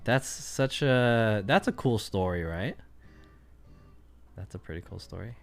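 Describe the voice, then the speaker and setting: Man, low voice, rambling livestream chat, faint music behind. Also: expressive, measured pace, creaky. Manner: rambling, thinking aloud with many fillers. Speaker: streamer.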